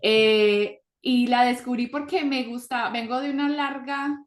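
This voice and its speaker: A young woman born in Colombia and raised in South Florida, a school psychologist telling her story as a podcast guest, animated and full of fillers. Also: on the high side, a little slow, echoey room. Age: young adult. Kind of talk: casual interview.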